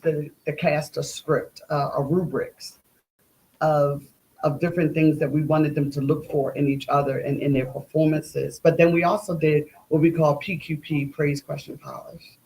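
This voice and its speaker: A woman's voice: low voice, little pitch movement, moderate pace, darkish tone, low-quality recording. A middle-aged or older woman, a guest playwright and educator, telling stories conversationally. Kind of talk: conversational storytelling.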